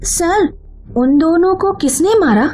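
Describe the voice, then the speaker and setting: A woman's voice: very high voice, expressive, slow, a little mellow, faint music behind, pitched above the performer's own voice, heavily acted. A woman voicing a worried, insistent female neighbour in a cartoon horror story. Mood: shocked, insistent. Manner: earnest, insistent.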